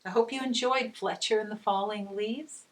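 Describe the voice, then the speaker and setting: A woman's voice: medium pitch, steady tone, normal speed. A middle-aged woman reading aloud to children as a storyteller and teacher, using simple phrases and coaxing questions. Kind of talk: children's read-aloud with gentle guided discussion.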